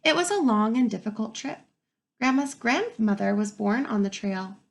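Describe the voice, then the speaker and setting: A woman reading a picture book aloud to young children like a teacher, expressive and pausing to ask wondering questions. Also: on the high side, gravelly. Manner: expressive, pauses to pose questions to young listeners.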